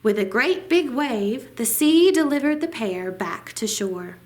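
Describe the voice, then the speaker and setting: Slow, expressive woman reading a storybook. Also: fairly high pitch. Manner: deliberate, dramatic phrasing. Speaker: library storytime reader. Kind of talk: expressive children's picture book reading.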